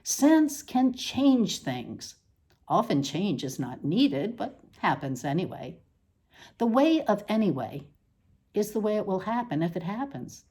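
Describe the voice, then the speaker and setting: A woman reading a scripted literary essay aloud, with measured, deliberate phrasing and pointed pauses. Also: mid-range voice, sing-song, dark tone, husky. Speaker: reader.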